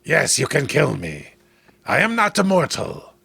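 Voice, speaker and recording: A man voices an ancient old hermit who is secretly a noble alien lord in a horror comic. He speaks slowly and solemnly, with a gravelly, husky voice and righteous resolve. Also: medium pitch, wildly swooping pitch, bright, forceful tone, no room sound, full character voice. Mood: solemn, defiant.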